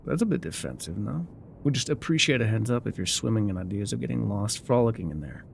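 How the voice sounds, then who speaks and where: A man's voice: lowish voice, lively intonation, quick pace, gravelly, a little hiss or hum. A man playing an enigmatic, wry guide through dangerous wilds in an intimate ASMR roleplay, musing, teasing and often trailing off. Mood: wry, protective.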